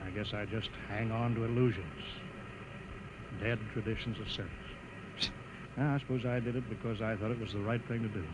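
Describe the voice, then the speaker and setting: An actor playing a reflective, idealistic naval officer in a measured, wistful 1950s radio drama style. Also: male voice, on the low side, fairly even intonation, lightly acted. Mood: resigned, reflective. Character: reflective, idealistic naval officer aboard a doomed underground cruiser. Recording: noisy old 1950s radio recording.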